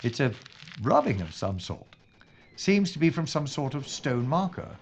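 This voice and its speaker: An actor playing an earnest police inspector in a wartime audio drama, speaking formally and deliberately. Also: male voice, mid-range voice, big pitch swings. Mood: grave, deducing. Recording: dull lo-fi sound, faint noise.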